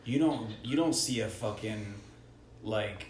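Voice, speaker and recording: A man co-hosting a podcast in casual slangy chat, inquisitive and quick to swear. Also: on the low side, a little mellow. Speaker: podcast co-host. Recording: roomy echo with faint noise.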